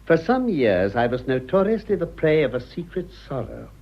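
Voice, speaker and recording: An actor playing an urbane, cynical older British gentleman in a radio drama, with dry, suave irony. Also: on the high side, very animated, moderate pace. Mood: amused. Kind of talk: radio drama acting of a stage comedy. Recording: dull lo-fi radio drama recording.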